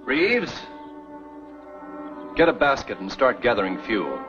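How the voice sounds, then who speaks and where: An actor playing a stern, commanding elder who gives formal orders to a wagon train in a 1950s TV western. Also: male voice, medium pitch, very animated, slow, music in the background. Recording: dull old TV soundtrack.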